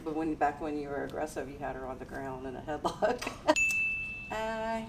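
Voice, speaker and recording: A middle-aged woman from Louisiana speaking gently about her daughter in an interview. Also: low-pitched, lively intonation, moderate pace. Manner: gentle, laughs between thoughts. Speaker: interviewee, mother. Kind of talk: casual interview. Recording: distant mic, noisy ambience.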